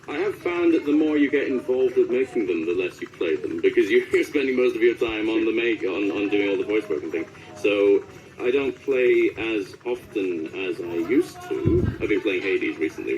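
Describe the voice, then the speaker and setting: Low-voiced, animated man in an interview. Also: moderate pace, soft background music, poor audio. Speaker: voice actor being interviewed. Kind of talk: casual interview chat.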